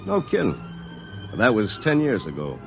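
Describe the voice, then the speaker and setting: A successful attorney telling his own story in a 1950s radio play. His voice is fairly low, self-assured and wry. Music runs behind the vintage broadcast sound. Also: wildly swooping pitch, moderate pace, creaky, full character voice. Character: confident successful attorney wryly recounting his past. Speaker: narrator of the story. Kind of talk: 1950s radio drama first-person narration.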